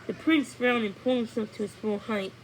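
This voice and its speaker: A woman's voice: fairly high pitch, brisk, slight background noise, rough recording. A woman narrating a children's storybook as a read-along storyteller.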